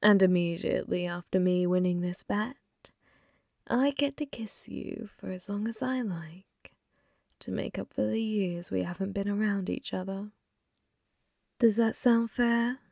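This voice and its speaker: A woman voicing a flirty, possessive female demon bartender in an intimate ASMR roleplay, teasing and unhurried, sometimes slipping into whispers. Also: mid-range voice, gravelly, a little mellow, no echo, studio quality.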